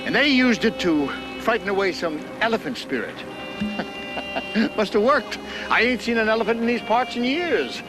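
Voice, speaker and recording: An actor playing a jolly, superstitious older country wagoner, folksy, theatrical and full of chuckles, in a television period tall tale. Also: male voice, high-pitched, animated, moderate pace. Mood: folksy, amused. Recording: muffled VHS with music behind.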